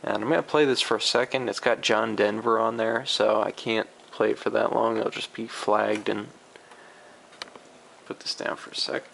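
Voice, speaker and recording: A man giving a casual, unscripted demonstration of vintage audio gear, with a gravelly voice and a rambling, halting way of explaining. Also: fairly low pitch, steady tone, fairly bright tone. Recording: amateur camera recording with background noise.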